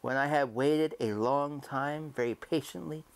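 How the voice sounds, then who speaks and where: A man narrating a gothic horror story in an old-time radio manner, theatrical and deliberate, shifting between hushed and emphatic delivery. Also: medium pitch, smooth. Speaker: narrator reading a short story aloud.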